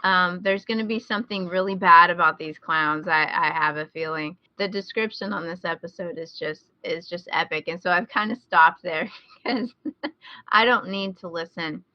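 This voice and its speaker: A woman's voice: lowish voice, moderate pace, dry recording. A woman hosting a podcast, chatting casually as she gives recommendations. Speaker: podcast host. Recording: slightly dull, lo-fi close recording.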